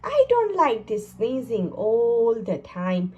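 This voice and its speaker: A woman's voice: a little high, huge pitch swings, slow pace, dark tone, highs cut off, heavily acted. A woman voicing a small man who sneezes all the time, earnest and bewildered, in a storybook read-aloud. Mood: puzzled. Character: sneezy little man from a freezing land.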